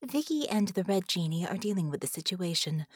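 A woman voicing a serene, polite artificial intelligence, measured and formal, in an audiobook. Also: fairly low pitch, big pitch swings, breathy edge, no echo, clean studio sound.

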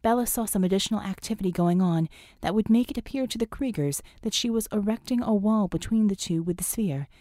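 Female audiobook narrator for action fiction: warm, relaxed timbre, scripted storytelling, dry close recording. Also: medium pitch, a little fast, few pauses, dry recording.

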